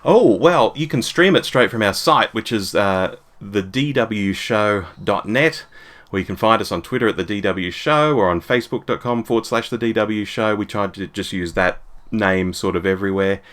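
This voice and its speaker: A man's voice: lowish voice, moderate pace, fairly bright tone. A man in his forties talking casually as a podcast guest in an interview. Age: 40s.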